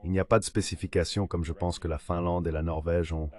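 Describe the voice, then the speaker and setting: A man's synthetic voice reading translated political discussion as a measured voice-over. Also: low-pitched, level tone, darkish tone. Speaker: voice-over translator of interview guests. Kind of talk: dubbed political discussion, measured read delivery.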